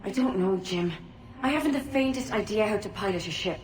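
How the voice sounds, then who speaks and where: An actress playing an eager young barmaid who asks about pirate life. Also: female voice, medium pitch, animated, fairly quick, darkish tone, recorded from a distance, close to an everyday voice. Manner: eager, questioning. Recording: echoey, from afar, faint noise.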